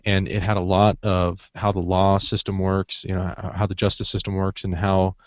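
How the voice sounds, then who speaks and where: A man's voice: deep voice, flat delivery, moderate pace, dry recording. A man hosting a podcast, chatting casually as he moderates a discussion. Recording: dull, dry lo-fi podcast audio.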